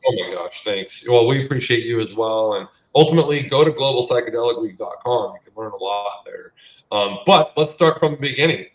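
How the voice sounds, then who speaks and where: A man's voice: medium pitch, animated, a little fast. A man hosting a podcast interview in a laid-back, agreeable way with plenty of fillers. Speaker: podcast host. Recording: echoey room, distant from mic.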